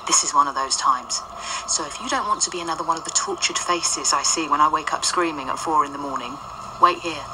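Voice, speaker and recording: An actress playing the ghost of a centuries-old nun who now hunts monsters, talking in a brisk, sardonic, impatient way. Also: female voice, low voice, natural voice. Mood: brusque. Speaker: lead character. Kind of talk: radio drama acting. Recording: noisy radio drama track.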